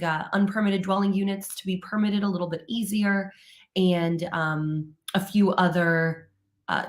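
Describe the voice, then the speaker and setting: A woman hosting a live informational webinar, her voice still hoarse as she recovers from laryngitis, talking in a relaxed way with many ums and uhs. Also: fairly low pitch. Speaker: webinar host, company presenter.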